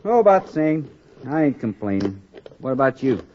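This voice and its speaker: Actor as folksy frontier miner, noisy recording. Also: male voice, mid-range voice, big pitch swings, normal speed, close to an everyday voice. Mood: friendly teasing. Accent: American Western. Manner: folksy, familiar, joshing. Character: easygoing, teasing, sociable frontier miner. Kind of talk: 1950s radio western acting. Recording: noisy, muffled 1950s radio recording.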